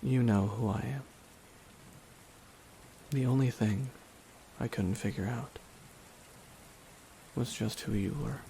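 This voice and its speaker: A man playing a brooding, remorseless villain in a dramatic ASMR roleplay, speaking in a hushed, deliberate way with long pauses. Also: medium pitch, creaky. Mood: curious, somber. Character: brooding, remorseless male villain at his dying nemesis's side. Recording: steady rain noise behind the voice. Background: rain falling on a surface.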